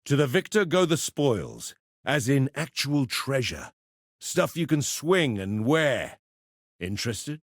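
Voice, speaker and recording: A man's voice: mid-range voice, sing-song, slow, no room sound, heavily acted. A roguish pit fighter from a video game, swaggering and slangy. He talks like a theatrical, cheeky schemer with a tough edge. The recording is dry and close. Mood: wry, scheming. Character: roguish adult male arena fighter and pitmaster turned syndicate member. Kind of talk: video game character voice lines.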